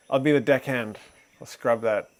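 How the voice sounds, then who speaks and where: A man co-hosting a casual livestream who chats loosely, laughs often and reads a news article aloud haltingly. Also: mid-range voice. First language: English, Fijian not first language. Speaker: livestream co-host.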